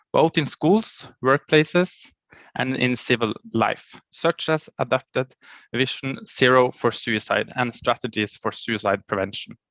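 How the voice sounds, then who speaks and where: A man, a county education official reading a prepared conference presentation in a formal, halting way and often cutting off and restarting words. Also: mid-range voice, a bit bright, no room sound, highs cut off.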